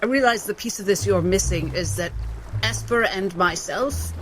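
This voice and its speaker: A player voicing an eccentric, apologetic psychiatrist with psychic powers, halting and fussily formal, in improvised tabletop roleplay. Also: medium pitch, fairly bright tone, soft background music, lightly acted. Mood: fussy, defensive.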